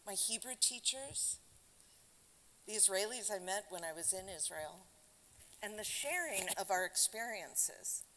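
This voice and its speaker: A woman's voice: medium pitch, animated, a little slow, pushed and bright. An older woman giving a conversational, reflective talk to the congregation at a Shabbat service in a synagogue sanctuary. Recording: long hall reverb with faint background noise.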